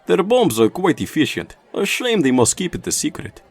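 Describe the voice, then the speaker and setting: A man's voice: sits high, big pitch swings, normal speed, breathy edge, heavily acted. A man playing a sly, fun-loving Soviet scientist in a comic dub.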